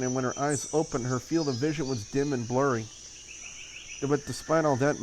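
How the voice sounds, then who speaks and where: A man's voice: mid-range voice, noisy background. A man reading scripted visual novel narration aloud in a dramatic storytelling style. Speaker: reader of a visual novel for a video channel.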